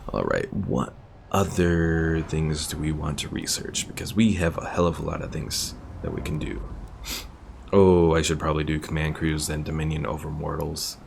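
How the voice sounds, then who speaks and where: A man's voice: a bass voice, normal speed, vocal fry, noisy background. A man casually commentating a strategy game as he plays, thinking aloud and reading game text out. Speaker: gaming streamer. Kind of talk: casual let's-play commentary.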